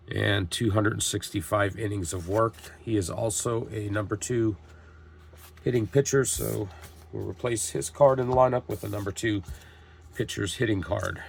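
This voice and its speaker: A man's voice: on the low side, creaky, slightly rough. A man hosting a tabletop baseball game, reading lineups and calling plays like a broadcaster while getting over a cold. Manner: steady, reads lineups and calls plays like a broadcaster. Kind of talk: play-by-play narration of a dice game.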